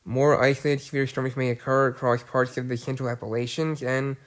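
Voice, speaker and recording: A man's voice: mid-range voice, level tone, brisk. A man with a cold, sounding congested, giving a severe weather update and reading out long lists of areas with some restarts. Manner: plodding list-reading with restarts. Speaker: weather video host. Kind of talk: casual weather briefing.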